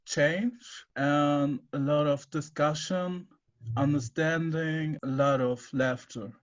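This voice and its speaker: A man in his twenties from Germany, speaking slowly and cheerfully as a discussion guest. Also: fairly high pitch, steady tone, muffled recording. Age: 20s. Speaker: panel guest.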